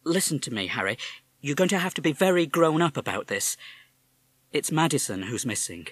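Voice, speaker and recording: A man doing a calm, soothing mother. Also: a little high, normal speed, no echo, voice pushed up. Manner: soothing, reassuring. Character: calm, steady British mother.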